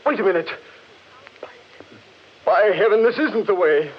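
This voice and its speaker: An actor playing an uneasy gentleman explorer in a 1940s radio horror drama, speaking in theatrical, halting phrases. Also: high-pitched, lively intonation, breathy edge, heavily acted. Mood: frightened, urgent. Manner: theatrical, halting, old-fashioned exclamations. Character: Crane, uneasy gentleman explorer in haunted forest. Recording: muffled, noisy old 1940s radio recording.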